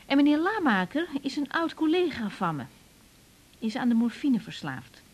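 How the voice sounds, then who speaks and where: A woman's voice: high-pitched, sing-song, moderate pace, breathy edge, full character voice. An actress playing a naive, confiding young woman doctor with emotional delivery in a 1980s radio drama, with a Netherlands Dutch accent. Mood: surprised, anxious, relieved.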